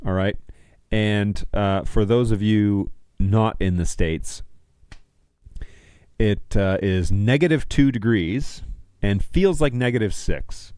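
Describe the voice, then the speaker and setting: A man's voice: on the low side, slow, long pauses, a little mellow, clean tone, no room sound. A man in his thirties hosting a casual livestream, chatting to viewers in an easygoing, slangy, jokey way at a close studio mic. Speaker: livestream host. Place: small home studio room indoors. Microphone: close studio mic on a stand.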